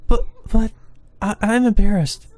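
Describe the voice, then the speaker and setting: A woman's voice: medium pitch, a little slow, dark tone, pitched above the performer's own voice, close to an everyday voice. A woman voicing a shy, easily flustered young girl who stammers and hesitates, in a visual novel.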